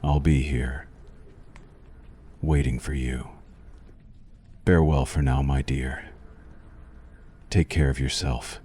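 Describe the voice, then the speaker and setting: An abyssally deep, dark-toned male vampire doctor played by a man speaks very slowly, as in an ASMR roleplay. He is courtly and intimate, soothing with a menacing edge. Every phrase carries a possessive, suave hunger. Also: fairly even intonation, exaggerated voice. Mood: courtly, teasing.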